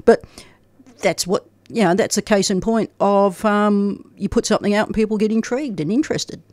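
A man's voice: high-pitched, normal speed, a little mellow, no echo. A middle-aged man hosting a talk show who rambles and restarts his sentences. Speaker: show host. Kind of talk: casual interview chat.

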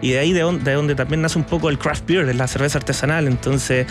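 Chilean man, interview guest, casual chat, rapid pace, music playing behind him. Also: on the high side, steady tone. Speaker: interview guest, bar owner and sommelier. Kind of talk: casual conversation.

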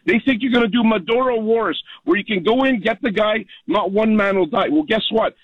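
A man's voice: high-pitched, brisk, no echo, dull audio. A man calling in to a talk radio show, rambling heatedly with many restarts and corrections. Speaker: caller.

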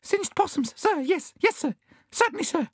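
A tiny, terrified storybook bandicoot. The voice is pitched far up, thin and quavering, and it stammers along obsequiously, repeating itself in fright. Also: male voice, animated, normal speed, no echo, clean studio sound. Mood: nervous, servile. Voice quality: shrill, quavering. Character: small terrified bandicoot, quavering, gasping and servile.

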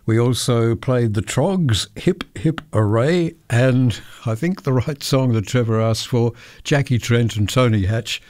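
Australian male radio host, warm mellow tone, dry booth sound, easygoing storyteller between records. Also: medium pitch, no room sound. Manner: chatty, anecdotal, occasional self-corrections. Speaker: radio presenter. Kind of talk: relaxed radio chat between songs. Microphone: close studio microphone.

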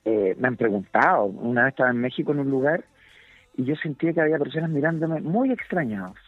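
A Chilean man in his fifties, a comedian and guest, chatting in a relaxed, halting way and often repeating words while he searches for them. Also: mid-range voice, dry recording. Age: 50s. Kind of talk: relaxed interview chat. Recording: dry tinny phone line.

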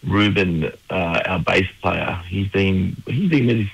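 This Australian man, a musician guest, talks in an easygoing, laid-back manner. His voice is very low and husky. The sound is dry and close, with no room around it. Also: slow, dry recording, poor audio. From New South Wales, Australia. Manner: relaxed, laid-back, many fillers. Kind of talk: casual radio interview.

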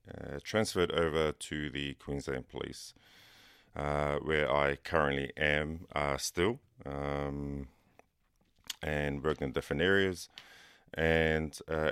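Slow halting nz guy, super deep voice, smooth and dry studio sound. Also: dry recording. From Cook Islands, raised in New Zealand. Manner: halting, soft-spoken, many pauses and fillers. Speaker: guest, police officer. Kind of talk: radio interview answers. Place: radio studio.

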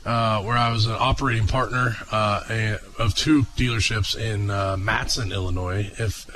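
A young man from Oklahoma answers questions on a radio show with a bright, forceful voice. He talks in a halting way, filling gaps with uhs and ums. A faint hiss sits behind him. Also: lowish voice, steady tone, rough recording. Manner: halting, many filler sounds. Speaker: guest, auto dealership executive. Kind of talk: radio interview.

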